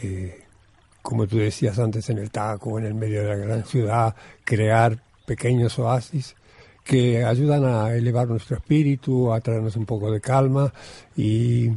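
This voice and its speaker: Husky, mellow Argentine man, measured and searching. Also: mid-range voice, steady tone, no room sound. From Buenos Aires, Argentina. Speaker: Zen monk, interview guest. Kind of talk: reflective conversation.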